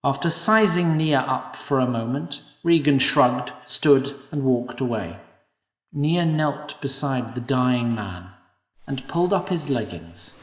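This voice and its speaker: Slow, expressive male narrator in an echoey room. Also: mid-range voice. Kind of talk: scripted audio-drama narration. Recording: echoey room, distant mic.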